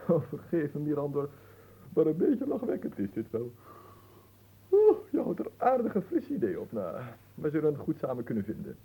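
A man's voice: fairly high pitch, huge pitch swings, moderate pace, dark tone, husky, full character voice, rough recording. A man acting in a radio drama as a bored, capricious young nobleman, theatrical, languid and teasing. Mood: teasing, condescending.

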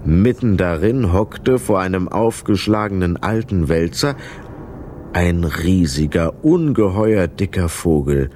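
A man's voice: low-pitched, lively intonation, slow, warm tone, a little hiss or hum. A man narrating a children's radio play as a scripted storyteller.

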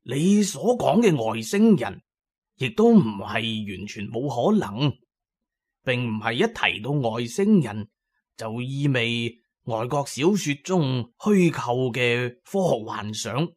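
A man's voice: mid-range voice, very animated, takes it slowly. Man playing a kindly old Cantonese professor, patient lecturer. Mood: earnest, explaining. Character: kindly, learned elderly male archaeology professor.

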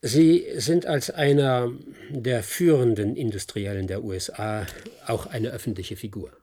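A husky, hard-boiled private detective in a radio drama. He is world-weary and spare with words, speaking in a dry, deliberate way at a medium pitch. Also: dark tone. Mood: measured, firm. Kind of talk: German radio play acting.

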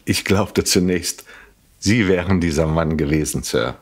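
Low-voiced, shrewd police inspector. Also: male voice, slightly rough, heavily acted. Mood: probing, measured. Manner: measured, polite, drily insinuating. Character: shrewd, courteous, probing male British police inspector. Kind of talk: German radio crime drama acting.

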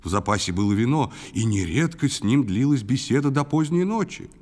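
Man, mid-range voice, animated melodic delivery, lyrical audio-play narration. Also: mid-range voice, brisk. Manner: expressive, lyrical storyteller. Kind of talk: dramatic verse narration, audio storytelling. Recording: music under the voice. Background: guitar and instrumental music.

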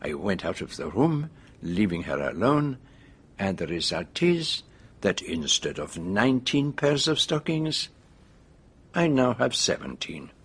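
A man's voice: fairly low pitch, sing-song, measured pace, husky, exaggerated voice. An actor playing an older male detective in a radio drama, formal and courteous, with a French-speaking European accent and polite hesitations. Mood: shrewd, deliberate. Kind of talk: BBC radio drama acting.

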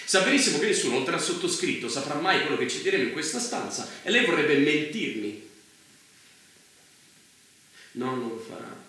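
Male, challenging, principled reporter with a bright, forceful voice; stage drama, heavy room echo. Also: mid-range voice. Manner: insistent, challenging. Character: indignant, principled male journalist confronting a powerful leader. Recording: very echoey room, faint noise.

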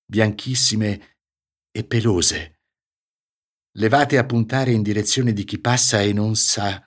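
A man with a northern Italian accent from Ferrara reading a literary story for radio, with measured, theatrical phrasing and deliberate pauses. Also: on the low side. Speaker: actor reading a short story. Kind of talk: literary audiobook narration for radio.

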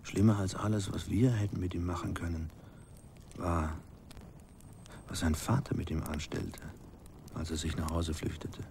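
An actor playing a grim villager recalling cruel childhood events, deliberate and hushed, in a radio play. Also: male voice, sits low, little pitch movement, background noise. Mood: brooding, hushed. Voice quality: gravelly, husky, breathy. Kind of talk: slow dramatic radio play storytelling.

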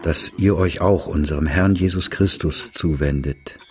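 A man's voice: sits low, a little slow. An actor playing a solemn, pious man reading out letters of faith and repentance in a radio play. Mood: pious, earnest. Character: solemn, pious male letter writer, penitent and admonishing.

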